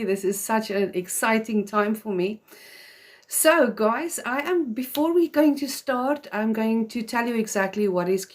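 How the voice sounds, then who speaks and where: A woman's voice: mid-range voice, a little mellow. Earnest South African woman live-streaming. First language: Afrikaans. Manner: earnest, chatty, with frequent "um" fillers. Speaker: host of a live video series for mothers. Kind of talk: live-stream talk to viewers.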